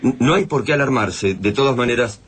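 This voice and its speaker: An Argentine man playing a bewildered radio presenter struggling to keep calm, halting, stammering and earnest. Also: mid-range voice, breathy edge. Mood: anxious, reassuring. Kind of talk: halting, improvised-sounding radio drama acting.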